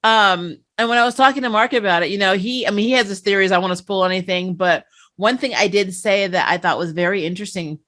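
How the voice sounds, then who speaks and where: A woman hosting a casual fan review, chatting in an animated, storytelling way. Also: medium pitch, fast. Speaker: talk show host and interviewer.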